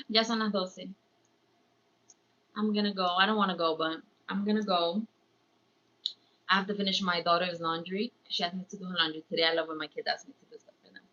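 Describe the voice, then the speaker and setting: Bright, chatty New woman. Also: lowish voice, a little fast, long pauses. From born in Ecuador, raised in New York. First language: Spanish. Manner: chatty, rambling, slips into Spanish phrases. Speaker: livestream host, crafts vlogger. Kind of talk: casual livestream chat. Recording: slightly dull, lo-fi stream audio.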